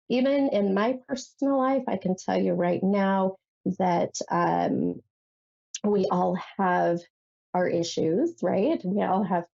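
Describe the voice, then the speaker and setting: A woman's voice: on the low side, creaky, warm tone, lo-fi sound. A woman hosting a psychic call-in show, chatting casually about tarot with many fillers, sounding nasal from a head cold. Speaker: psychic radio host.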